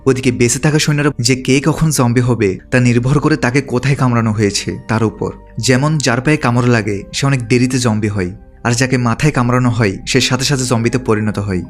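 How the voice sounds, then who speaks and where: A man's voice: mid-range voice, fast, faint music behind. A man reading a scripted story recap as a narrator.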